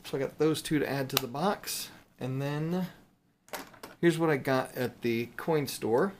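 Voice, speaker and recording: Guy doing a casual show and tell video, rambly and a bit playful, mid pitch, some noise behind him. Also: measured pace. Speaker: video host showing silver bullion purchases.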